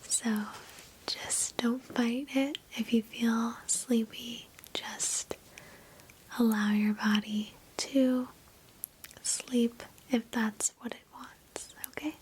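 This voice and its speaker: A woman whispers a guided meditation in ASMR style, softly and breathily, with a gentle, soothing, reassuring manner. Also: fairly high pitch, fairly even intonation, very slow, a little hiss or hum, low-quality recording. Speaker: ASMR host guiding a meditation. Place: indoors, sitting on a bed.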